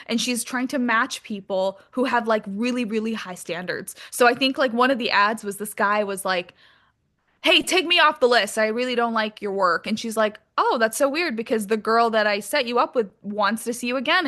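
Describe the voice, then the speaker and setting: Female guest for a casual interview, informal and playful, with a crisp forward brightness and slightly raised pitch. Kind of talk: casual chat.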